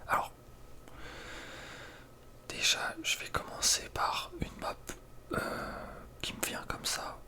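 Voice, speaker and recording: A young man speaks in a soft breathy whisper, giving casual, slangy ASMR gaming commentary with a hesitant delivery. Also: slow, pauses often. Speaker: ASMR video creator.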